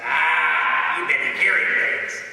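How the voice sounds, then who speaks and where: A man's voice: mid-range voice, sing-song, slow, pushed and bright, heavily acted. A man playing a brash, scheming gang boss in an old-time radio crime drama, full of slangy tough talk. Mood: dismissive. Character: cocky, stubborn male gang boss, scheming petty racketeer. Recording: echoey hall, far from the mic.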